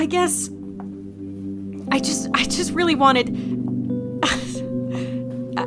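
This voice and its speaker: A woman playing a flustered, emotional young female agent in an audio drama, expressive and stammering. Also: mid-range voice, lightly acted.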